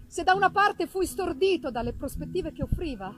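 A woman gives a dramatic literary reading with theatrical, deliberate phrasing and pauses. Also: very high voice, lively intonation, noisy background. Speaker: reader performing a gothic novel. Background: live musical accompaniment.

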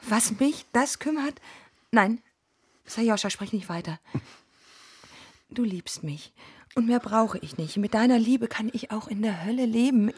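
An actress playing a young bride recklessly in love, speaking with fervent emotion in a romantic radio play. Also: female voice, fairly high pitch, lively intonation, unhurried, long pauses, slightly rough, dry recording, exaggerated voice. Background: music.

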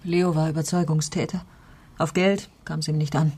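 A woman's voice: deep voice, fairly even intonation, normal speed, a little mellow, breathy edge. An actress playing a troubled, guarded mother under police questioning in a radio crime play, subdued and defensive. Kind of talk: German radio play dialogue.

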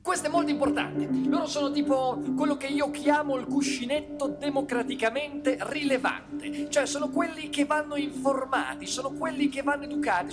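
Male stage monologist, high register, dramatic satirical storytelling with everyday phrasing, performed over loud guitar accompaniment. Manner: dramatic, colloquial, sardonic storytelling with rhetorical repetition. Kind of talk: theatrical satirical monologue with live music. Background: plucked guitar music.

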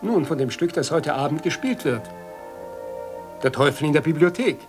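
Guy acting a nervous fussy young author in a radio play, kinda high voice, polite n hesitant, music behind. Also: animated, normal speed, lightly acted. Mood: timid, polite.

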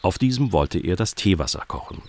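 A man's voice: low-pitched, lively intonation, fairly quick. A man narrating a scripted children's story as the narrator of a radio play.

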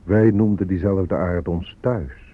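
An actor playing a grave Native elder who speaks deliberately, like a solemn refrain, in a poetic radio play. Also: male voice, low voice. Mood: grave, wounded pride. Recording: narrow phone-like audio with music behind.

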